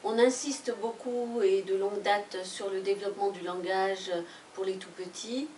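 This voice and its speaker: A woman's voice: medium pitch, steady tone, moderate pace, darkish tone, a little hiss or hum. A woman whose first language is Spanish, presenting a book as director of an association, speaking hesitantly with many fillers and restarts. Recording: echoey room from far off.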